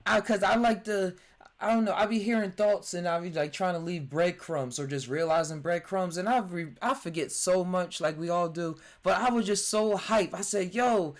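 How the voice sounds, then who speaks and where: A man in his twenties hosting a podcast, telling his stories excitedly, laughing often and restarting his sentences. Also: high voice, normal speed. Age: 20s. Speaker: podcast host. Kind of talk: casual chat. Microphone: remote voice chat.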